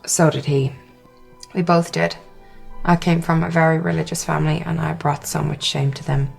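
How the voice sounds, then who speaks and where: A woman's voice: low voice, fairly even intonation, moderate pace, creaky, faint music behind. An actress playing a resigned, shamed mother giving a restrained, sombre first-person testimony. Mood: resigned, ashamed. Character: resigned, shamed woman who lost her baby.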